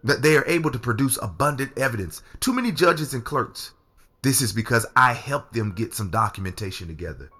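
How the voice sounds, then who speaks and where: A man's voice: mid-range voice, lively intonation, fairly quick, sharp tone, slightly rough. A man playing an earnest lover who confides dangerous secrets in an audio drama. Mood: urgent, frank. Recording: music under the voice.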